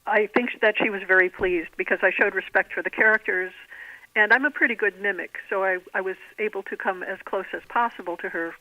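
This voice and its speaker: Middle-aged female author, interviewed by phone; low-pitched, articulate and discursive, thin narrowband sound. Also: fairly even intonation, fairly quick, dry recording. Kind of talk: conversational interview answers. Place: remote call into a podcast. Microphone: telephone. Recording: narrow tinny phone line.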